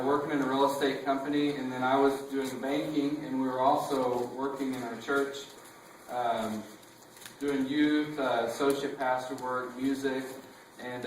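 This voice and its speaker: A man's voice: mid-range voice, a little hiss or hum. A man with a Texan accent, a visiting missionary preacher who tells stories casually with lots of fillers and self-deprecating jokes. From Texas. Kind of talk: sermon with a sung opening. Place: church sanctuary. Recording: echoey church room from far off.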